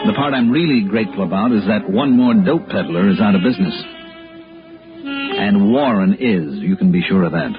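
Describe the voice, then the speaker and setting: An American actor playing a tough, cool insurance investigator in clipped, hard-boiled 1960s radio noir style. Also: male voice, on the low side, lively intonation, moderate pace, natural voice. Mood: wry, relieved. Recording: muffled vintage radio, music behind.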